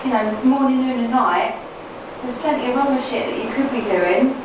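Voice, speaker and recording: A woman offers gentle, chatty encouragement. She sounds distant, wrapped in heavy hall reverb with steady noise. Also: on the high side, moderate pace. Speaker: workshop participant. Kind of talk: supportive sharing. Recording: echoey hall recording from far off, noisy.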